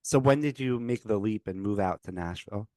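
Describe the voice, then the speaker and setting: A man hosting a podcast, asking relaxed questions and agreeing easily with his guest. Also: lowish voice, fairly quick, no echo. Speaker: podcast host. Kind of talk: interviewing.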